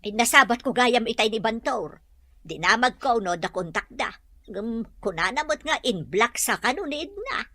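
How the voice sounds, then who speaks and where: A woman's voice: on the high side, big pitch swings, normal speed, sharp tone, dry recording, studio quality, exaggerated voice. An actress playing a protective, indignant older village mother in an Ilocano audio drama, with an Ilocano accent. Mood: concerned. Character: protective, indignant village mother defending her grown child.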